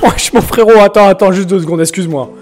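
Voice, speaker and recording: A young man from France chatting casually on a live stream, joking and teasing viewers in slangy talk with sudden loud bursts. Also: sits high, quick pace, soft background music. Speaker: streamer reacting to viewers' music.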